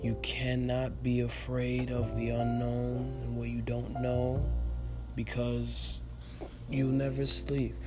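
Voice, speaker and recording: A man's voice: medium pitch, monotone, takes it slowly. Earnest young man with music behind. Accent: light Dominican Spanish. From Dominican Republic. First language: Spanish. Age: 20s. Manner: earnest, rambling, motivational, with many fillers and restarts. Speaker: podcast host. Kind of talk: casual solo podcast monologue.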